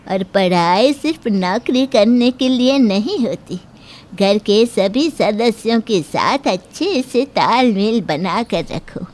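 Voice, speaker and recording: A woman playing an older mother-in-law in a cartoon moral story, firm and reasoning as she admonishes. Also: a little high. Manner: admonishing, measured, lecturing.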